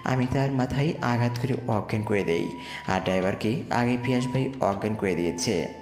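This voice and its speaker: A man's voice: medium pitch, normal speed, darkish tone. A man reading a scripted story in the first person with dramatised delivery. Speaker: narrator and lead character in an audio love story. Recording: echoey reverb with music behind. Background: music.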